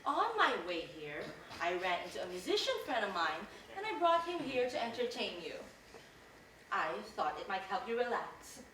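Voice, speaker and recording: A woman playing a brisk mocking sister. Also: a little high, big pitch swings, pushed and bright. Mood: plotting. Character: brisk, shrewd sister of the sick man. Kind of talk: live college stage play. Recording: echoey theater from far off, noisy.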